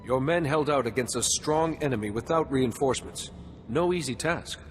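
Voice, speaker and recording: An actor playing a calm, principled, earnest warrior monk in a video game, speaking in a measured, formal way. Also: male voice, medium pitch, long reverb tail, faint music behind, lightly acted. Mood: composed. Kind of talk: video game cutscene acting.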